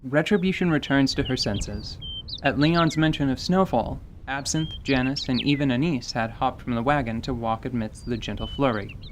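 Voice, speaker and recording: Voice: mid-range voice, moderate pace, slight background noise. A narrator reading scripted story prose for an audio drama. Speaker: narrator and voice actor.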